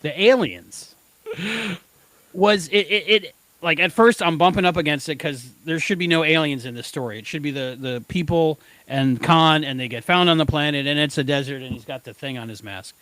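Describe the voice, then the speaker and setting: A man with a slightly high voice co-hosts a casual fan discussion. His phrasing is informal and halting, and the sound is very dry, with no room echo. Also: a bit bright. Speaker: podcast co-host.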